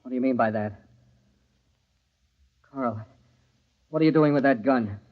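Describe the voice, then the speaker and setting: An actor playing a desperate, commanding lover covering up a crime in an old radio thriller, giving urgent, bossy orders. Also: male voice, medium pitch, a little slow, lightly acted. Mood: tense, startled. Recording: dull, lo-fi old radio recording.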